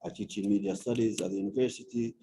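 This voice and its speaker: A man's voice: fairly low pitch, steady tone, quick pace, dark tone, a little hiss or hum, rough recording. A man from Palestine speaking on a panel, modest and hesitant, with many restarts. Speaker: panelist, media studies lecturer. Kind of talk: panel self-introduction.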